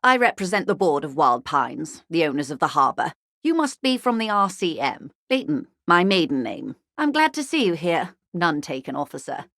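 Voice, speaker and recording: An actress playing a wealthy, poised company negotiator in a video game, speaking in polite, measured, formal phrases with dry irony. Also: female voice, low-pitched, big pitch swings, a bit bright, no echo, full character voice. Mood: courteous, composed. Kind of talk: scripted game character dialogue.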